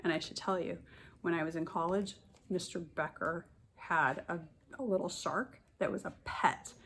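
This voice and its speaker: A woman kindergarten teacher reads a picture book aloud to young children, pausing to explain and point things out in simple words. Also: fairly low pitch, steady tone, fairly bright tone, a little hiss or hum.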